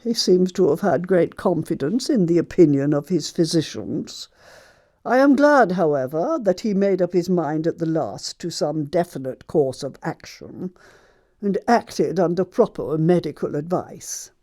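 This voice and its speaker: An actress playing a haughty, imperious older aristocratic aunt in a stage comedy, pompous and deliberate. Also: female voice, fairly low pitch, lively intonation, mellow. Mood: incredulous, disdainful. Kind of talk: theatrical stage comedy acting.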